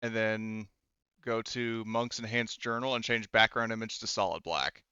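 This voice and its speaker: A man's voice: fairly low pitch, fairly even intonation, normal speed, pushed and bright, clean tone, no echo, lo-fi sound. A man casually narrating a tabletop game as game master, improvising in informal, rambling, hesitant phrases.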